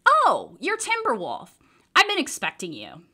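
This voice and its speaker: A woman's voice: high voice, huge pitch swings, unhurried, bright, forceful tone, voice pushed up, heavily acted. A woman voicing a nice, fanged female pharmacist in a children's storybook, friendly and patiently instructive. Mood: welcoming.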